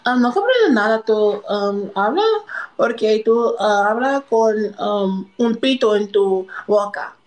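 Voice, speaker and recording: A young woman with an American accent, a native English speaker, hosting a casual chat with a hesitant, coy, deadpan delivery. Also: medium pitch, takes it slowly, rough recording. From California, United States. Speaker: livestream host. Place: room indoors. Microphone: phone at arm's length.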